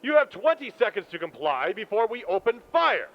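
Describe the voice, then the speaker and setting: An actor playing a haughty, zealous soldier who gives stiff official orders in an audio drama. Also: male voice, high-pitched, big pitch swings, moderate pace. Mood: threatening. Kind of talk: post-apocalyptic audio drama. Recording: muffled, faint noisy background.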